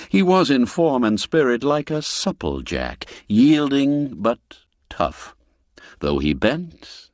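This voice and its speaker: A man's voice: deep voice, no room sound, studio quality. A man narrating a classic tale in a theatrical, dramatic manner. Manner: theatrical, dramatic swings in emphasis. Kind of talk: scripted storybook narration.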